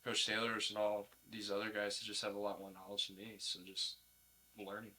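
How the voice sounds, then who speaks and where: A man's voice: on the low side, completely flat delivery, a little fast, sharp tone, low-quality recording. A young man chatting casually as a college athlete being interviewed, in informal, laid-back phrasing. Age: young adult. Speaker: college baseball player, podcast guest.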